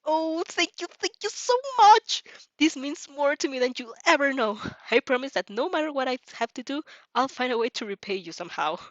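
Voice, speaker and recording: Woman voicing an earnest, high-pitched young vampire girl. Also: animated, moderate pace, no room sound, lo-fi sound. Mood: overjoyed, grateful. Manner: earnest, pleading, effusive. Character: fourteen-year-old vampire girl, lost, afraid, impulsive and grateful.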